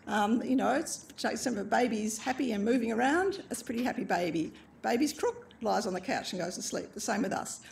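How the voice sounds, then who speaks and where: A woman chairing a hospital panel discussion, quick and plain-spoken. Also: fairly high pitch, expressive, vocal fry, a little mellow, a little hiss or hum. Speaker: panel moderator, obstetrician.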